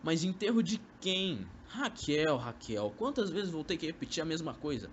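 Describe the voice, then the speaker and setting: A man's voice: a little high, very animated, moderate pace, dull audio, a little hiss or hum. A young man acting a sly, coaxing former lover in an amateur radio drama, speaking Brazilian Portuguese with poetic, theatrical delivery. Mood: coaxing, impatient.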